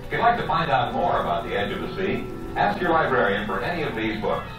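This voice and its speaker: A man's voice: medium pitch, sing-song, quick pace, recorded from a distance, music in the background. A man reading scripted educational nature narration as the host of a television program. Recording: very echoey archival television soundtrack.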